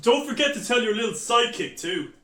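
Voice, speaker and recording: A man playing a pushy, excitable television network boss who calls out insistently in a hammy comedy sketch. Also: very high-pitched, a little fast, far from the mic, heavily acted. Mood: nagging. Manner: insistent, calling out. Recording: echoey room, off the mic.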